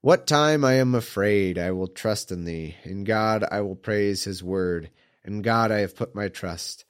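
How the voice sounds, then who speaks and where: A man with a slightly low, congested voice reads archaic scripture aloud. He keeps undercutting it with dry, irreverent asides and chuckles. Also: unhurried, clear, resonant tone. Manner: irreverent, mocking, chuckles at the text.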